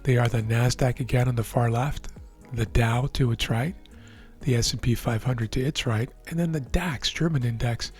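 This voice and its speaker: A man with a hoarse, worn voice calmly explaining the markets as a trading educator, often checking in with the listener. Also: mid-range voice, normal speed, faint music behind. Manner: relaxed, explanatory, checks in with "right?". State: hoarse, losing his voice. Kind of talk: live market commentary over a chart screen.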